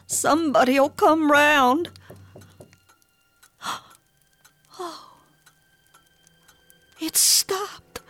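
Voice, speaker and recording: Terrified, slow-speaking frontier wife. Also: female voice, high voice, fairly bright tone, heavily acted. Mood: anxious, hushed. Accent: rural American. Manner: slow, halting, folksy speech. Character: terrified frontier wife unravelling from dread into madness. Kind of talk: radio drama acting. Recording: music behind.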